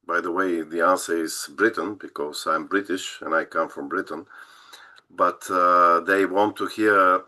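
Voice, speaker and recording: A man with a Bulgarian accent hosting a conversational interview, telling wry stories in an animated way. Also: low-pitched, unhurried. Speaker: host.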